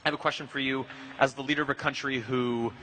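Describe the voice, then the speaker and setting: A man, a history graduate student, asks a polite and composed question from the audience. His voice has a bright, forward edge. A faint room noise sits behind him. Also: medium pitch, steady tone, fast talker, rough recording. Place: large university lecture hall.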